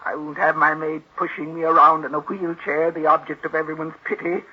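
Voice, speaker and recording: An actress playing a bitter, imperious, reclusive old invalid aunt in a 1940s radio drama, curt and suspicious. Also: female voice, low-pitched, flat delivery, brisk, breathy edge. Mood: stubborn, irritated. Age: older. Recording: muffled vintage radio drama recording.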